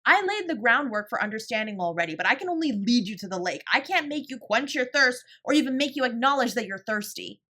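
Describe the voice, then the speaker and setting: This is a woman acting as a protective older sibling in an audio roleplay drama. The voice is high for a woman, with a bright, forceful edge. The delivery is emphatic and scolding, with sarcasm aimed at a parent who has let the family down. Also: big pitch swings, quick pace, hardly any pauses, full character voice. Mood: stern, commanding. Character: fiercely protective older sibling confronting their father.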